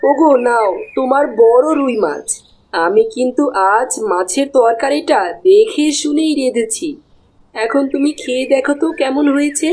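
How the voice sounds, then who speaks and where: Woman voicing a high-pitched naive cartoon wife. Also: normal speed, a little mellow, rough recording. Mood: eager, obliging. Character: naive, dutiful, simple-minded village wife. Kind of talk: Bengali cartoon dialogue.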